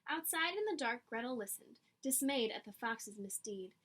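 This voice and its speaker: A woman's voice: on the high side, animated, normal speed, fairly bright tone, a little hiss or hum. A woman reading a picture book aloud to children with dramatic emphasis and teacherly asides. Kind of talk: expressive read-aloud storytelling with asides to children.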